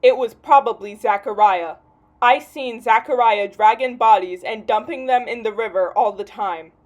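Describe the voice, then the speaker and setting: A woman's voice: a little high, normal speed, darkish tone, lo-fi sound, lightly acted. A woman voicing a ranting homeless drunk man with folksy, rambling speech in a comic mock true crime story. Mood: accusing, ranting.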